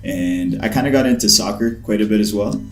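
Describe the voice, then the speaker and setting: Low-voiced man in a roomy space. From Pakistan. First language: Urdu. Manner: reflective, measured storytelling. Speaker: guest, basketball trainer. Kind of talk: casual interview conversation. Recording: roomy echo, faint music behind.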